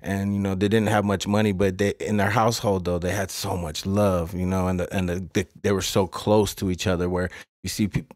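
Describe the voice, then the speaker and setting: A man's voice: low voice, little pitch movement, brisk, a little mellow. A man hosting a podcast interview, talking with enthusiasm and stumbling over his words with lots of fillers. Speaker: podcast host.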